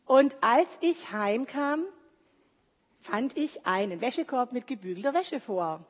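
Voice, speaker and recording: A woman's voice: fairly high pitch, expressive, measured pace. A woman preaching a sermon in a conversational, explaining way, mixing in personal anecdotes. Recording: reverberant hall.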